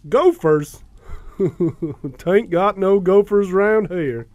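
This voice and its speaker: Creepy, simple young Southern yokel, highish voice, slow halting drawl, for radio drama. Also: male voice, a little mellow, dry recording, lo-fi sound. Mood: sly. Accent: rural Southern US. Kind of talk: audio drama acting.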